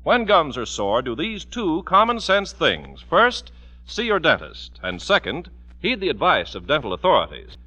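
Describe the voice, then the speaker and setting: Animated, bright-voiced 1940s radio announcer, male. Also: a little high, normal speed. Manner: emphatic, polished salesmanship. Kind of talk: 1940s radio commercial and scripted narration. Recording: dull vintage radio broadcast recording.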